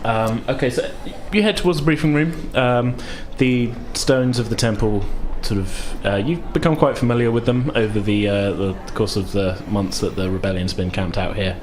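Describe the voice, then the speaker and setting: A man's voice: lowish voice, moderate pace, few pauses, darkish tone, slight background noise. A man narrating a tabletop role-playing game as game master, improvising his descriptions.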